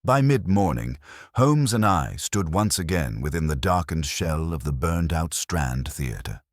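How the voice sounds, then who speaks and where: Very deep male mystery narrator, animated delivery. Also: dry recording, clean studio sound. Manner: measured, suspenseful storytelling. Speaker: audiobook narrator. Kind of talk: scripted dramatic mystery narration.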